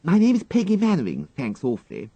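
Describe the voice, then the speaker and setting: A man's voice: fairly high pitch, wildly swooping pitch, quick pace, mellow, breathy edge, no echo, highs cut off, voice pushed up, heavily acted. A man voicing a twelve-year-old English schoolgirl in a storybook, cheeky and gushing in her chatter. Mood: bright.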